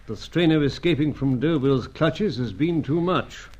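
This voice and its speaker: A man playing a grave, avuncular older spy chief in an old-time radio drama, measured and slightly hesitant. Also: a little high, darkish tone, lightly acted. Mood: grave, resigned. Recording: dull, lo-fi radio serial sound.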